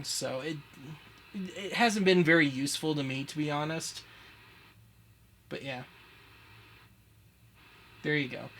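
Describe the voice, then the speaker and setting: Wry American man, slightly high-pitched, noisy recording. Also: a bit bright. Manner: wry, self-deprecating. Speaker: host of a retro media web show. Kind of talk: casual explainer, chatting through a gadget demo. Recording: clear background noise.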